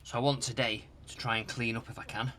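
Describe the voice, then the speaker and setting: A middle-aged British man giving casual hands-on repair commentary, musing aloud in a halting, hesitant way. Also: mid-range voice, level tone, bright, forceful tone, slight background noise. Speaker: host of a retro tech repair channel. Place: home workshop loft.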